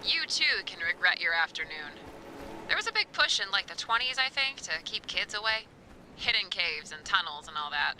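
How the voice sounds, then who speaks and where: An actress playing a wry local woman in a video game, deadpan and sardonic over a walkie-talkie. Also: medium pitch, normal speed, a little hiss or hum, low-quality recording. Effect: walkie-talkie radio. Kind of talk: naturalistic video game acting.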